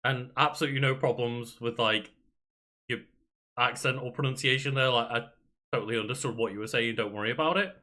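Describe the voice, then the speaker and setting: A man's voice: medium pitch, steady tone, a little fast, pushed and bright. A young man from Merseyside, chatting casually as podcast co-host. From Merseyside, England. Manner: chatty, reassuring, filler-heavy. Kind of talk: casual chat.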